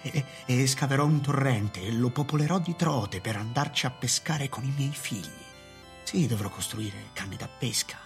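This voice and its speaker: An actor playing a resolute, nostalgic town official in a radio drama, speaking with solemn deliberation. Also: male voice, medium pitch, normal speed, natural voice. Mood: wistful, haunted. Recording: radio play with music behind. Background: music.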